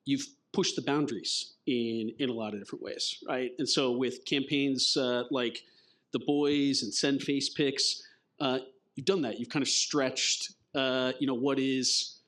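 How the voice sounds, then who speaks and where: A man's voice: mid-range voice, fairly even intonation, unhurried, fairly bright tone. Hesitant, joking male moderator. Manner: hesitant, many fillers, dry jokes. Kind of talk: onstage fireside chat. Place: conference stage before an audience.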